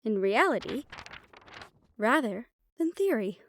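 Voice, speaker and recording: A woman's voice: fairly high pitch, sing-song, slow pace, a little hiss or hum, exaggerated voice. A woman playing a confident, scheming female magic researcher in a scripted fantasy audio roleplay. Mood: confident, brisk.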